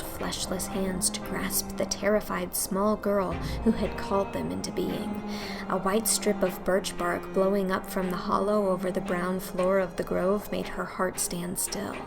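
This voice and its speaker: A woman narrating a scripted storybook as its host, with a measured, expressive delivery. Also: medium pitch, vocal fry, over loud music.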